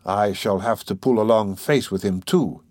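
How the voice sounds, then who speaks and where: A man's voice: fairly low pitch, moderate pace, mellow, no echo, lightly acted. A man playing an irritable, scheming young man, sardonic and brooding, in an audiobook of a classic novel. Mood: anxious, brooding. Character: irritable, scheming young former law student, mocking and anxious.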